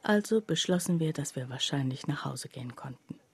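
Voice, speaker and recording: A woman acting in a radio play as a reflective friend who narrates in a confiding, measured way. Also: low-pitched, animated, background noise. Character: reflective woman narrator, loyal, envious friend of accused mother.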